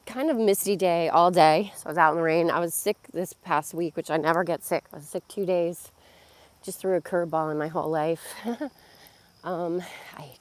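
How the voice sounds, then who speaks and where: A middle-aged woman with a hoarse, worn voice, chatting casually to a phone camera while walking outdoors by a pond, rambling with many fillers. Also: low voice. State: hoarse, losing her voice. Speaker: vlogger and yoga teacher. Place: outdoors on a nature walk by a pond. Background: crickets and insects outdoors.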